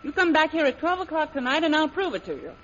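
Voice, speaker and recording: Bold, swooping high-voiced female spy. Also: big pitch swings, fast talker. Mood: taunting. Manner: taunting, commanding. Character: bold, cunning female secret agent, scornful and commanding. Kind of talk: 1930s radio serial acting. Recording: muffled, noisy 1930s radio recording.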